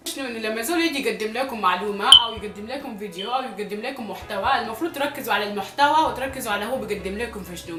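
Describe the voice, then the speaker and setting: A young woman from Sudan talks straight to her viewers in a chatty vlog, her Sudanese Arabic accent carrying a few Egyptian traces. She speaks very fast, her voice sits a bit high and slightly hoarse, and she keeps repeating phrases for emphasis. The room sounds echoey, with music playing underneath. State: slightly hoarse from recent illness. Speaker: YouTuber. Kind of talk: casual vlog talk to viewers.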